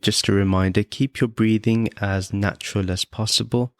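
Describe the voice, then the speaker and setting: A man teaching a guided meditation in a measured, instructive way, speaking in short, repeated phrases with pauses. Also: lowish voice, fairly even intonation, no echo, studio quality. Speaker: meditation teacher and podcast host.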